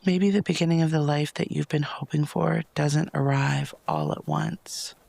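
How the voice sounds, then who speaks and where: A man with a slightly high-set voice guides a meditation in a soft, gentle tone full of pauses. Heavy vocal fry runs through his phrases, which often fade toward a whisper. The sound is close and dry. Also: fairly even intonation, no room sound. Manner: soft, breathy, lapsing into near-whispers; unhurried, gentle, with long pauses.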